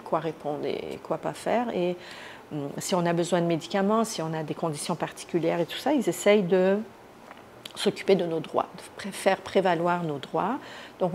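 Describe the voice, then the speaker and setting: A woman with a slightly low voice tells her own story in an interview. She speaks spontaneously and haltingly, feeling for the right word as she goes. There is faint noise behind her. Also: darkish tone. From Iran. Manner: halting, searching for words. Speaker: interviewee, activist recounting her detention. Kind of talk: spontaneous first-person account in an interview. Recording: noticeable background noise.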